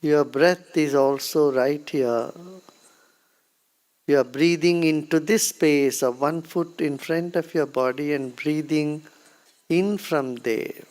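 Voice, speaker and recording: A meditation teacher with an Indian accent guiding a practice in a calm, unhurried, gently instructing way with long pauses. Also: medium pitch.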